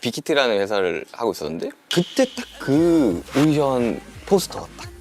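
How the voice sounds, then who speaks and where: A man's voice: medium pitch, normal speed. A man in his thirties from Daegu, South Korea, hosting a casual chat and telling his own stories with animation. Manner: animated storytelling, drifts into dialect. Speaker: host.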